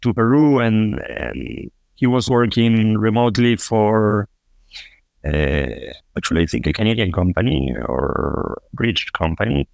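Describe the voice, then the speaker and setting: Low gravelly man from Argentina. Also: sing-song, no room sound. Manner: halting, many restarts and fillers. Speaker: podcast guest, fintech co-founder. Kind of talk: casual interview answers.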